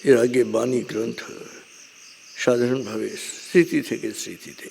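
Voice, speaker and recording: Gravelly man lecturing in a reverberant hall. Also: mid-range voice, darkish tone. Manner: deliberate, short phrases with frequent pauses. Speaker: religious speaker. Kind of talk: measured religious lecture, partly scripted narration. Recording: long hall reverb, clear background noise.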